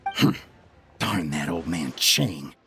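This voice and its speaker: A man voicing a shady, deferential merchant gentleman in a video game, husky and ingratiating. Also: sits low, very animated, slow, slight background noise, full character voice. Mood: servile. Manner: obsequious, placating.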